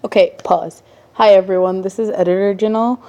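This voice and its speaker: A woman's voice: mid-range voice, steady tone, brisk, mellow, highs cut off. A woman, the podcast's editor, recording a hesitant, apologetic note on her phone mic.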